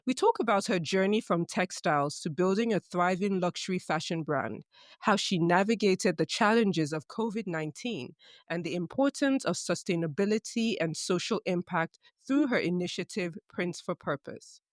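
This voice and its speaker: Low-voiced woman podcast host. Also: lively intonation, no room sound. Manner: measured, friendly questioning with light laughs. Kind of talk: scripted intro then casual interview chat. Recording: dry close sound with faint music under intro.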